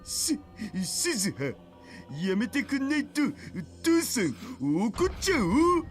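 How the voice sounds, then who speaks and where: A lazy geeky hacker guy in a Japanese visual novel. The voice is high and very animated, whiny and slangy, always wheedling, with music playing underneath. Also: moderate pace, exaggerated voice. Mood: sheepish, whiny.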